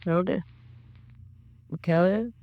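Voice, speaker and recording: A woman's voice: fairly low pitch, unhurried, dry recording, muffled recording, lightly acted. An actress playing a bitter, drunken, grieving mother in a 1950s radio crime drama, with slangy working-class speech and brooding repetition. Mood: grieving, self-blaming.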